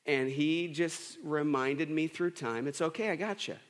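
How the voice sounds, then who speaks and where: A man's voice: fairly high pitch, unhurried. A man in his thirties preaching a casual, storytelling sermon, chatty and self-deprecating with lots of restarts. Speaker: pastor. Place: church sanctuary. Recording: long church reverb.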